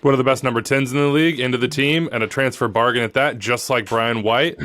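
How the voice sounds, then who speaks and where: A man's voice: medium pitch, steady tone, fast talker, fairly bright tone. A man hosting a soccer show, asking a coach questions and bantering casually. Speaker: show host.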